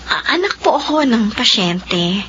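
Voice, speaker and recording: An actress with a Filipino accent playing a devoted, remorseful adult daughter in an emotional, pleading style of radio drama. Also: female voice, fairly high pitch, very animated, measured pace, bright, forceful tone, slight background noise, exaggerated voice. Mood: sheepish, apologetic. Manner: pleading, fretful. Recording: muffled radio drama audio, music bed.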